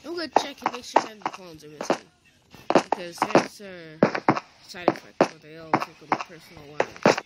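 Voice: mid-range voice, big pitch swings, normal speed. A child improvising a Minecraft roleplay, on a noisy home recording with clicks. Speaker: gamer playing all parts in a roleplay video.

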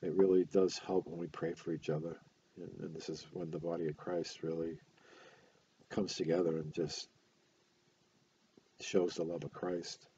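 An older man with a stuffy nose, talking casually to his webcam at home, halting with many fillers and restarts. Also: deep voice, little pitch movement, mellow, dull audio, a little hiss or hum. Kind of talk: casual talk to camera. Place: small room at home, indoors. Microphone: webcam close up.

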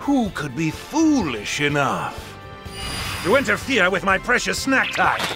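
A man's voice: high voice, wildly swooping pitch, a little slow, music in the background, heavily acted. A man playing a haughty, irritable male cat god in an anime game, imperious and languid. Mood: haughty, irritated.

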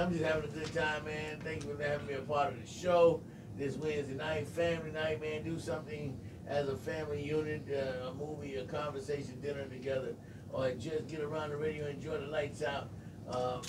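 A man's voice: fairly high pitch, level tone, brisk. A man chatting casually as a radio co-host. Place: radio studio, indoors. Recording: echoey, noisy, distant room microphone.

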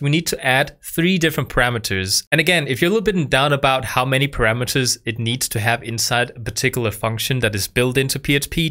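Young male tutor in his twenties, bright tone, patient beginner-friendly explainer, close studio mic. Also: mid-range voice. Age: 20s. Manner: patient, repeats himself for beginners. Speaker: programming tutor. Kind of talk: explaining code to camera. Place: dark studio, indoors. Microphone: studio mic on boom arm.

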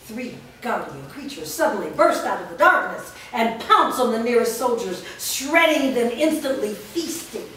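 A woman reads the stage directions in a steady, descriptive way. She sounds far from the mic in a very echoey room with faint background noise. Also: on the high side, normal speed, hardly any pauses. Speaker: narrator reading stage directions. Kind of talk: staged script reading. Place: large studio room. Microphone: far camera mic.

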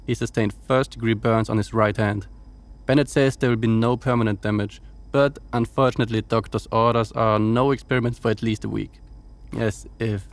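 An actor playing a wry, dutiful officer logging reports on a space station in an audio drama, with dry, teasing delivery. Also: male voice, fairly low pitch, steady tone, a little fast, lightly acted. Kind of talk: audio drama log monologue and dialogue.

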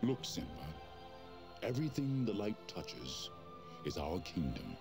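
A man's voice: very animated, a little slow, vocal fry, exaggerated voice. An actor playing a wise, noble male lion king speaking to his son in a commanding way. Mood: solemn. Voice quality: deep, commanding. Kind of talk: storybook character voice. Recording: music playing behind.

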